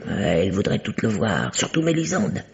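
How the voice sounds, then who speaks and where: A woman's voice: rumbling low, very animated, normal speed, vocal fry, a little mellow, exaggerated voice. An actress playing a prim, suspicious, secretive spinster landlady with hesitant formal politeness in an old French radio mystery. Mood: suspicious, conspiratorial. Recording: dull, band-limited vintage radio recording.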